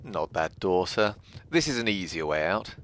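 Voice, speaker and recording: An actor playing an unflappable, deferential butler in a comic audio sketch, formal, deadpan and drily understated. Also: male voice, sits low, moderate pace, slight background noise. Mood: dry, polite. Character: unflappable, deferential male butler to a genteel hostess.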